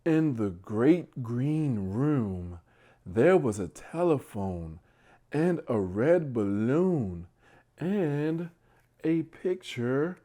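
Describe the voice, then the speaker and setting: A man reads a bedtime story to young children at an extremely unhurried pace. His mid-pitched voice is soft-edged and mellow, kept hushed and gentle as he addresses his small listeners directly. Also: very animated. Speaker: children's read-aloud host.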